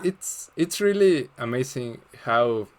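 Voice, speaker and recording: A man's voice: mid-range voice, expressive, slow. A man whose first language is Spanish, with a Spanish accent, interviewing in English in a friendly, slightly nervous way. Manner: friendly, nervous, laughs often. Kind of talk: casual interview.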